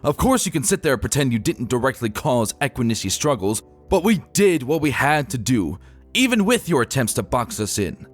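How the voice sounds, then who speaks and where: Male reader as young stallion prince for fantasy audio story: haughty, angry, huffing and spitting, raised pitch. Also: sing-song, moderate pace, faint music behind. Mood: furious. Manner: snarling, huffing, spitting words. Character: young stallion prince, proud, contemptuous and hot-tempered. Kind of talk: dramatic fan fiction reading.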